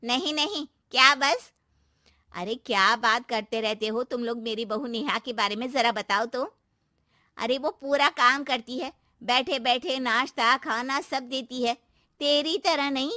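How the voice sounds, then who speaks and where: Woman as nagging, high-voiced older aunt. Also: measured pace, pushed and bright, no echo, studio quality. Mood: indignant, mocking. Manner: taunting, scolding. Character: nagging, critical older aunt in a family cartoon.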